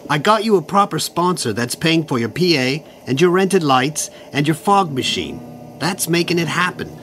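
A man's voice: fairly high pitch, animated, moderate pace, a bit bright, slight background noise. An actor playing a patient, long-suffering male assistant to a rock star, dry and understated, in a comedy audio drama. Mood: placating.

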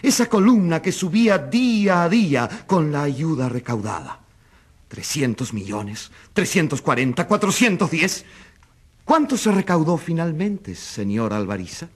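An indignant newspaper editor accusing someone in a radio courtroom drama. His voice sits a little high and swings widely, leaning hard on rhetorical questions. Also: big pitch swings, a little slow, husky, exaggerated voice. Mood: earnest, pointed.

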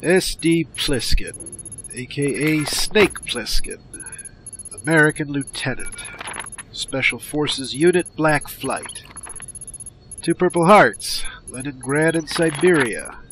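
A gruff, commanding police commissioner for an audio drama. His pitch moves widely while his delivery stays slow and blunt, like a veteran used to giving orders. There is clear noise behind the voice. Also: animated. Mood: cold, businesslike. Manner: barking orders, blunt, profane.

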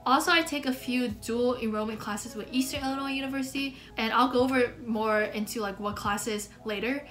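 An eighteen-year-old woman talks casually to the camera in a small bedroom, her voice sitting a bit high. She is upbeat and chatty and fills her sentences with "like". Her is Mandarin, and faint music plays underneath. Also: fairly even intonation, fairly quick, fairly bright tone. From United States. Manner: chatty, upbeat, many "like" fillers. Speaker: student vlogger.

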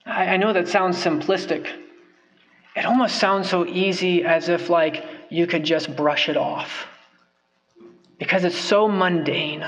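Earnest young preacher with a high voice. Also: male voice, fairly bright tone, highs cut off. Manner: earnest, emphatic, repeats phrases for stress. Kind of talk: sermon. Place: church sanctuary, at a wooden pulpit. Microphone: pulpit microphone.

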